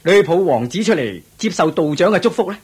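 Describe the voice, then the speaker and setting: A man playing a proud, hearty king in a radio drama, exclamatory and expressive. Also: sits high, fast, slightly rough, exaggerated voice. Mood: pleased, commanding. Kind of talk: Cantonese radio drama acting.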